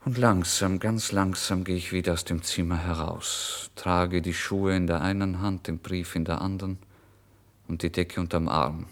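A man's voice: sits low, fairly even intonation, normal speed. An actor playing a penniless, emotional writer who recounts his misery in a theatrical, intense German radio drama reading. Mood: brooding, tense. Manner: theatrical, intense, swinging between hushed and exclamatory. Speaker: first-person narrator.

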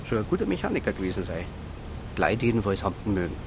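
A man's voice: very animated, rapid-fire, gravelly. An actor playing a plain-spoken small-town policeman with a Bavarian accent, folksy and colloquial, in a radio crime drama. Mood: folksy, subdued. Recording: rumbling noise behind voice.

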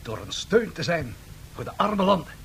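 Lively-voiced, ambitious financier. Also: male voice, creaky, heavily acted. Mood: expansive, ambitious. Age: 30s. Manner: measured, persuasive, formal. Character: confident, ambitious Swedish industrialist and financier. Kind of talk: radio play acting. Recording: faint noise and rumble, 1970s radio.

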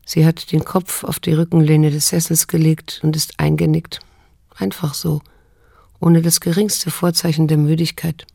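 Gravelly-voiced, restrained middle-aged daughter. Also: female voice, sits low, little pitch movement, warm tone, dry recording, clean studio sound. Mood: pensive, tender. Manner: measured, sober, pointed. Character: probing, reproachful daughter in her late fifties, wounded yet restrained. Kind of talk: German radio drama acting and narration.

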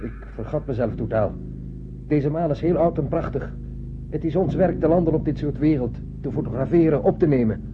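An actor playing a grave, principled ship's officer in a radio play, speaking in measured tones. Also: male voice, a little high, narrow, tinny audio, natural voice. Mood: earnest. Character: principled ship's officer defying his captain. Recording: thin, noisy old radio recording.

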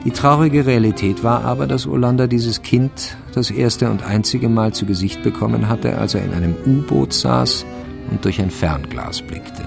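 A man narrating a radio crime drama from a script, with a gravelly voice. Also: mid-range voice, normal speed. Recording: music under the voice.

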